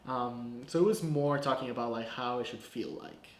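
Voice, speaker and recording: A young man talks through his filmmaking in a loose, chatty way, leaning on "like" and "you know". His voice sits at an ordinary middle pitch for a man. The sound carries a roomy echo. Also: moderate pace, a little hiss or hum. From Guatemala. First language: Spanish. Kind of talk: casual breakdown of his short film. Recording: echoey room.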